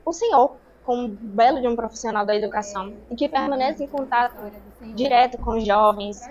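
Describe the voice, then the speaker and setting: A woman's voice: on the high side, a little fast. A woman co-host politely asking a guest a formal question. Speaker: podcast co-host. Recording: dull lo-fi sound.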